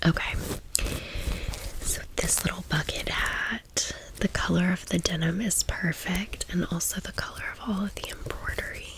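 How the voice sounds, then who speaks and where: A woman's voice: lowish voice, fairly even intonation, normal speed, rough recording. A woman from Tennessee in her thirties whispering in a chatty, confiding way as an ASMR storytime host. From Tennessee, United States. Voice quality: breathy whisper.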